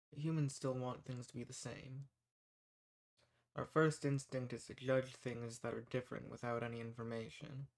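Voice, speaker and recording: A man's voice: mid-range voice, gravelly, lightly acted. A man playing a kind, folksy human rebel who earnestly reassures and shelters monsters in a scripted audio roleplay. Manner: folksy, reassuring, earnest, uses y'all and ain't. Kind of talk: scripted audio roleplay, speaking softly to the listener.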